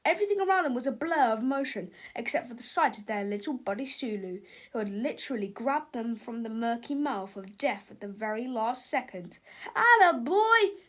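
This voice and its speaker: Woman reading a kids' book out loud, highish voice, expressive storytelling. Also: brisk, few pauses. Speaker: storybook narrator. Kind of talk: expressive children's read-aloud.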